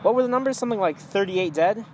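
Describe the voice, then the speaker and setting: An alarmist 1920s author acted by a man gives firm opinions in a radio interview. His voice sits a little high, with some noise in the amateur recording. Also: expressive, quick pace. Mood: indignant. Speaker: talk show guest, author.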